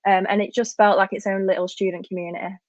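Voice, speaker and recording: Young female student, casual and flat in pitch, on a muffled lo-fi mic. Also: fast talker, dry recording. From Doncaster, England. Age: young adult. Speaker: student panellist. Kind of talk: casual panel talk. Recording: dull, lo-fi, dry audio.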